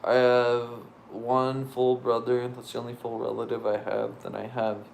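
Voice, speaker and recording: A young man with a gravelly, creaky voice chats easily on a home live stream and keeps his answers short and relaxed. Faint room noise runs underneath. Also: medium pitch, measured pace, rough recording. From Montana. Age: young adult. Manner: laid-back, brief answers. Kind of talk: casual live stream chat.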